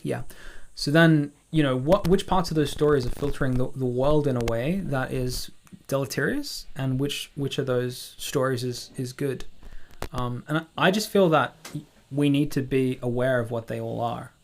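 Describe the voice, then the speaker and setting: A man's voice: medium pitch, moderate pace, a little hiss or hum. A man from South Africa talking reflectively and casually on a podcast, philosophical and articulate, often posing questions. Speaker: podcast speaker.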